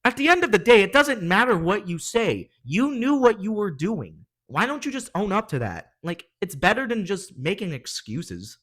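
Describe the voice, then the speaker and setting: A high-voiced man hosts a casual reaction commentary. His pitch swings widely as he gives exasperated, slangy opinions. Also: sing-song, moderate pace, few pauses, a bit bright. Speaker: reaction video host.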